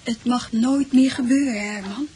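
A woman playing an earnest, troubled wife in a radio drama, speaking haltingly. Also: on the high side, mellow, slight background noise, natural voice.

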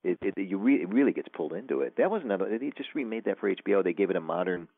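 A very deep-voiced man speaks over a thin, phone-like line with a dry, close sound. He is an author chatting as a guest, wandering through his thoughts with lots of fillers and false starts. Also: sing-song, very fast, dry recording. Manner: rambling, many fillers and restarts. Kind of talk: casual interview talk.

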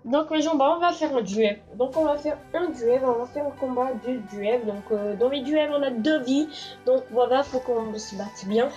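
A woman's voice: high voice, moderate pace, a little mellow, dull audio. Stuffy-nosed woman rambling over gameplay. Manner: rambling, many fillers and restarts. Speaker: gaming channel host. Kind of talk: casual gameplay commentary. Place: small echoing bedroom, lying down. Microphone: handheld console camera. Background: game music.

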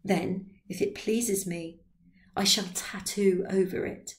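A woman's voice: medium pitch, steady tone, slow, lightly acted. A woman reading a blunt, skeptical young painter. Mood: instructive.

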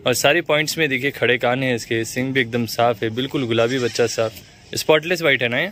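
A man's voice: medium pitch, quick pace, fairly bright tone. A man hosting a farm visit video, asking questions and repeating the answers back as he films on a phone outdoors. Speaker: channel host touring a goat farm. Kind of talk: casual on-site interview and guided tour. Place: outdoor farm yard by a street.

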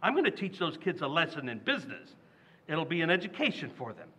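An actor playing a loud, indignant working-class family man in a radio play. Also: fairly high pitch, big pitch swings, a little fast, slightly rough, full character voice. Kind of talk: old-time radio drama acting. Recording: dull lo-fi recording.

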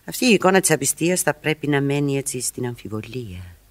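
A woman's voice: a bass voice, sing-song. A teasing proud married lady, radio theatre style, coy and theatrical, voice goes up and down a lot. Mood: musing, dramatic. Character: flirtatious, proud married society woman, coyly virtuous. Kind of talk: Greek radio theatre acting.

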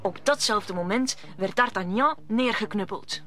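A woman's voice: medium pitch, measured pace, sharp tone. A woman narrating a scripted radio play as a storyteller.

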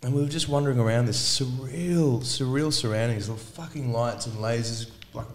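A man hosting a casual podcast chat, and his voice sits at an ordinary middle pitch. He rambles through stories and swears freely between the laughs. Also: moderate pace, a little hiss or hum. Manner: rambling, profane storyteller.

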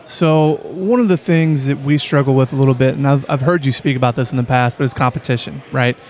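A man hosting an interview, asking questions and giving short agreeing replies, recorded in a busy convention hall on a boom mic. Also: steady tone, fairly quick, a little mellow. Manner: brief agreeing backchannels. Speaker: podcast host. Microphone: studio mic on boom arm with headphones.

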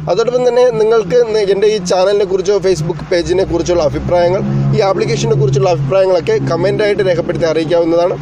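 A man's voice: high-pitched, fast, background noise. A man narrating an explanatory tech tutorial as its host, in a formal, wordy way that addresses viewers directly.

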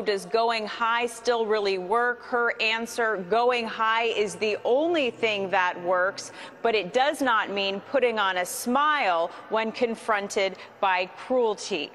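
Woman news correspondent reporting live at night. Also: fairly high pitch. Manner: brisk, even newsreader delivery. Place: outdoors at night.

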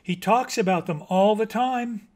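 A man's voice: sits high, measured pace, darkish tone, pitched above the performer's own voice. Man playing an earnest, uneasy little chipmunk. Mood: helpful, uneasy. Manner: earnest, helpful, slightly anxious. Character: frank, well-meaning, uneasy chipmunk friend.

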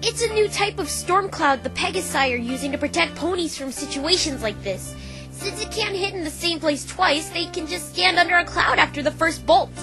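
Here is a woman acting as a brash young female cartoon pony, a pegasus with a high voice. She talks in a casual, cocky way and gets defensive, with music playing behind her. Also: lively intonation, moderate pace. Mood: casual, explaining. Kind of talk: fan dub voice acting of a visual novel.

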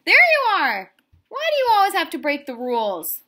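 Storybook female shape, bossy but loving, played by a woman, high, emphatic and bright. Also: huge pitch swings, moderate pace, heavily acted. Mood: loud, exasperated. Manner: emphatic, exclaiming, earnest.

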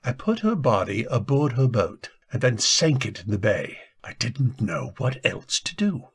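An actor playing a guilt-ridden, anguished husband in a radio drama, speaking haltingly as emotion breaks through. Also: male voice, mid-range voice, big pitch swings, husky, heavily acted. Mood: despairing. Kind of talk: old-time radio drama acting.

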